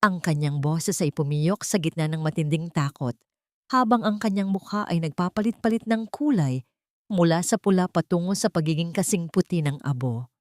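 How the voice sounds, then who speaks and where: Low-voiced woman narrating a drama. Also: normal speed, dry recording, clean studio sound. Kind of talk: dramatic scripted story narration.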